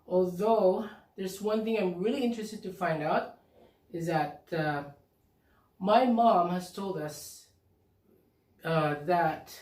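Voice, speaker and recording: A man from the Philippines co-hosting a casual couple's vlog, talking in a halting, easygoing way and laughing often. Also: high voice, darkish tone. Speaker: vlog co-host. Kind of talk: casual chat. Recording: echoey room, mic at a distance.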